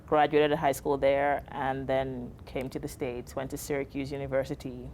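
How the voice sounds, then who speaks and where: A woman's voice: rumbling low, flat delivery, moderate pace, a little mellow, a little hiss or hum, rough recording. A young woman from Nigeria, a student guest in an interview, answering in a composed, articulate and dryly witty way. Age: young adult.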